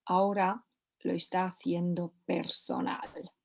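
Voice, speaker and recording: Slow, deliberate woman teaching a course. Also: on the low side, little pitch movement, creaky. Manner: slow, repeats key phrases for emphasis. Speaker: course instructor. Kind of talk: recorded course lesson.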